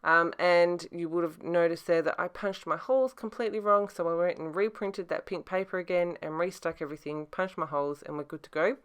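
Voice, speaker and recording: A woman's voice: on the low side, fairly quick, hardly any pauses, clear, resonant tone. A woman talking casually over a scrapbooking process video, her voice a bit scratchy, hesitant and full of fillers. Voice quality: soft-spoken, hesitant with many fillers. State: scratchy, throat-clearing voice. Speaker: scrapbooking crafter.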